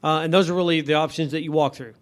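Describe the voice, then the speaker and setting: A man's voice: fairly high pitch, fairly even intonation, rapid-fire, smooth. Chatty man hosting a money show. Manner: conversational, guides the talk with questions. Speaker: radio show host, financial advisor. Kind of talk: casual radio chat.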